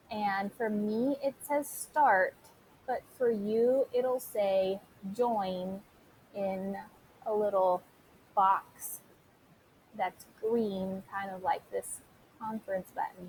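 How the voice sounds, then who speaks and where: A woman teacher giving an informal software tutorial, talking listeners through it step by step and addressing them directly. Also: mid-range voice, slight background noise, poor audio. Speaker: teacher recording a tutorial for students.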